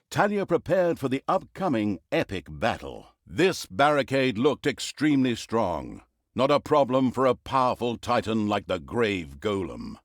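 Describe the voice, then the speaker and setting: An actor playing a sarcastic, pompous storyteller, narrating a fantasy video game with dry, mock-dramatic humor. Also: male voice, mid-range voice, no echo. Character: sarcastic, pompous male storyteller of evil deeds. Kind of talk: theatrical scripted fantasy narration.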